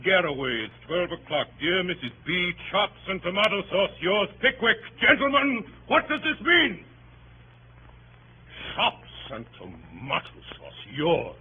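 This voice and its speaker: An actor playing a pompous, bullying Victorian barrister in theatrical 1930s radio style, bombastic and sharply emphatic. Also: male voice, heavily acted. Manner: bombastic, grandiloquent, sharply emphatic, swooping from hushed to booming. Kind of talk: 1930s radio acting, courtroom oratory. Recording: dull, noisy vintage 1930s radio recording.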